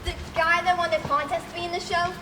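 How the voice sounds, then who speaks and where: A woman's voice: very high voice, level tone, fast. A young woman playing a blunt, sardonic radio studio crew member in a matter-of-fact way. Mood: dry, sardonic. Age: teenager. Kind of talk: amateur stage comedy acting. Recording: distant echoey hall with noise.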